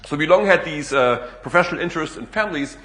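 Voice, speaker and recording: A middle-aged man from Germany with a German accent, giving a relaxed public lecture as a guest speaker and filling pauses with "uh" and "you know". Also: fairly high pitch, roomy sound. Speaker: guest speaker, economics professor.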